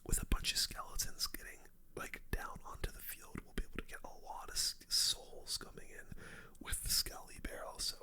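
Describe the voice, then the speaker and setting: Hushed whispering ASMR gaming host. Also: normal speed. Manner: whispering throughout; casual, slangy.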